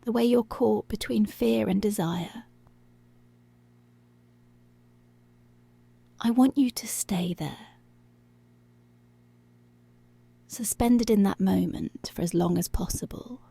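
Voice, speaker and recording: A woman playing a dominant, teasing, seductive policewoman in an intimate ASMR roleplay, with a breathy, whispery voice and slow, deliberate, commanding delivery. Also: mid-range voice, warm tone, dry recording. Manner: slow, deliberate, controlling, drawn-out phrases.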